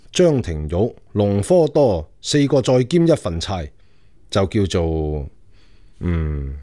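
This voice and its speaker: An actor playing a commanding Qing emperor in a radio drama, speaking in an imperious, measured manner. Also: male voice, lowish voice, dark tone, no echo, studio quality. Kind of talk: Cantonese radio drama acting.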